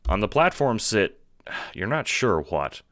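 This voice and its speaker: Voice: mid-range voice, very animated, unhurried, fairly bright tone, dry recording, clean studio sound. A narrator reading second-person audio drama narration in a storytelling style.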